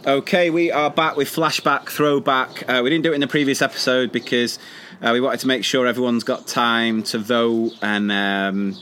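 A British man and teacher co-hosting a casual podcast, chatty and quick to laugh as he reads listener stories. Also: medium pitch, little pitch movement, fairly bright tone, slight background noise. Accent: British English. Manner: chatty, laughs often, trails off and restarts. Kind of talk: casual podcast chat, reading listener messages.

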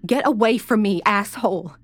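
A woman's voice: medium pitch, moderate pace, a bit bright, pitched above the performer's own voice, natural voice. A woman voicing a terrified but defiant captive young woman in a horror audiobook, pleading and angry. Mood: furious. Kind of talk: dramatic horror audiobook acting.

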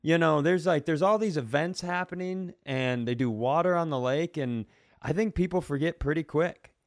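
A man's voice: a little high, normal speed, dry recording, clean studio sound. A man co-hosting a podcast, telling casual comic stories in a wry, rambling way. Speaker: podcast co-host. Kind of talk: casual comedic storytelling.

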